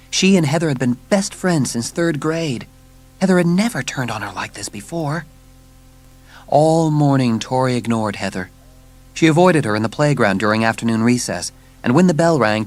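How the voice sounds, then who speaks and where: Male children's story narrator, medium pitch.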